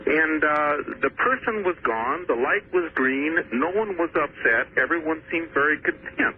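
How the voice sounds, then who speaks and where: Higher-voiced male caller on thin phone line. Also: lively intonation, moderate pace, slight background noise. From Washington state, US. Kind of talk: personal account told on air.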